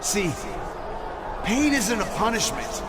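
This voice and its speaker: A man's voice: high voice, lively intonation. A man playing a smug, villainous wrestler, boastful and mocking, stretching out words in a theatrical promo. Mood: preachy, menacing. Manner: smug, drawn-out emphatic projection. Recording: noisy arena with loud crowd.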